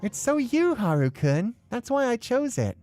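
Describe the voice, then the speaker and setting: A man puts on a sweet, polite young man for a visual novel. The voice sits high and swings widely in pitch, eager to please with something quietly unsettling underneath. Music plays behind it. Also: sing-song, moderate pace. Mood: sweet, cheerful. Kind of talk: visual novel character read aloud.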